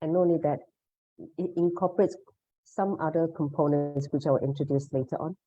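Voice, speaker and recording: A woman's voice: sits low, fairly even intonation, fairly quick, dark tone. A woman leading an online meditation lesson, explaining in a devotional, instructional way, over a video call. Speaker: meditation session leader. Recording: dull, muffled online session audio.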